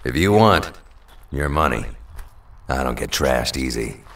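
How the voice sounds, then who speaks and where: A man acting a blunt, hard-drinking tough hero in an audio drama, gruff and laconic. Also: very deep voice, animated, measured pace, fairly bright tone, recorded from a distance, exaggerated voice. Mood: gruff, friendly.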